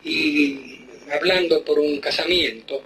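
Bright high-voiced Argentine man. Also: slow. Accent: Argentine, Rioplatense. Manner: rambling, hesitant phrasing. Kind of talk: casual phone conversation. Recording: noisy phone call.

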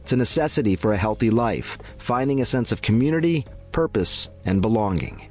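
Guy doing a calm scripted health spot on radio, faint music under it. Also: lowish voice. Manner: clear, measured explanatory delivery. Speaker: health segment presenter.

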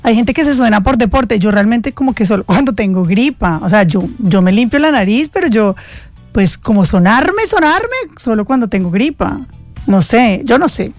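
A woman co-hosting a chat show, expressive and quick to exclaim as she tells anecdotes. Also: fairly high pitch, no room sound, faint music behind. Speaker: co-host.

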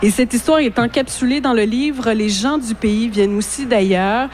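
A woman with a Quebec French accent hosting an interview, giving a clear introduction and asking attentive questions. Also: a little high, normal speed, a little mellow, a little hiss or hum. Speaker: interviewer host. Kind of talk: radio interview. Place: book fair.

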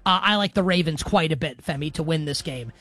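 High-voiced joking male sports co-host. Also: a little fast, bright, forceful tone, dry recording. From Greek heritage. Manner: jokey, self-deprecating, calls plays live. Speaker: sports betting show co-host. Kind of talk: casual sports betting chat with play-by-play.

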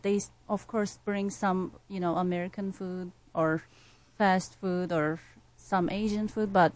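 A woman's voice: medium pitch, darkish tone, low-quality recording. Woman from Turkey, earnest speaker. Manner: earnest, many fillers. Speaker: music educator. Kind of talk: casual interview answer.

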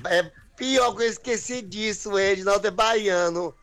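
A man in joking slang chat, musing aloud and repeating his words in a drawn-out way. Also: high voice, fairly bright tone.

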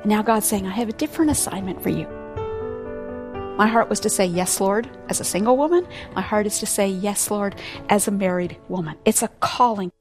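A woman's voice: medium pitch, brisk. A woman speaking devotionally as a conference speaker, earnest with rhythmic repetition. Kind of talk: devotional speech. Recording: music underneath.

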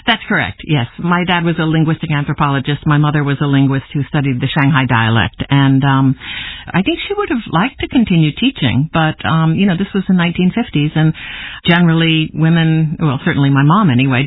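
A woman in her sixties, a guest author giving a reflective, thoughtful interview with soft laughs. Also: sits low, animated, brisk, no room sound. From United States. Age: 60s. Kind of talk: interview reminiscence. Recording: tinny dry phone-like broadcast audio.

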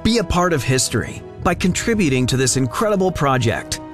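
A man's voice: mid-range voice, sing-song, fairly quick. A man reading a scripted radio advertisement in a polished promotional voice. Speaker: announcer. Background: music.

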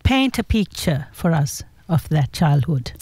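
A woman hosting an interview show, giving a gentle, earnest introduction and then asking questions. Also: sits low, big pitch swings, unhurried, breathy edge, no room sound.

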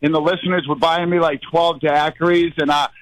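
Rambling excitable caller. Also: male voice, fairly high pitch, a little fast, no echo, rough recording. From Northeast US. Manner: rambling, excitable, talks in run-on bursts with many fillers. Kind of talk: rambling call-in chat.

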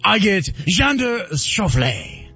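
Man, playful radio co-host, high bouncy voice, music bed under, dull sound. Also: big pitch swings, slow pace, pushed and bright. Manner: playful, laughs easily, sing-song announcing. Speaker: radio sports talk co-host. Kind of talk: casual sports radio banter.

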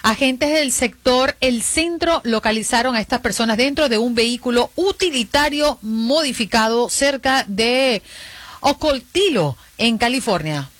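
A Venezuelan woman co-hosting a morning show, with a voice that sits a touch high for a woman. Her delivery is animated and playful, and she laughs easily between teasing remarks. In the studio she shifts smoothly between chatter and headline reading. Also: a little slow, no room sound. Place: broadcast studio.

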